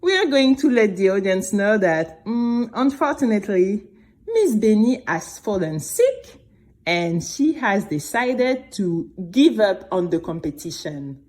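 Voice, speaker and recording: A woman voicing a menacing, scornful male corporate boss in an audio drama, sneering and deliberate. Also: medium pitch, big pitch swings, a little mellow. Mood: cold, smug.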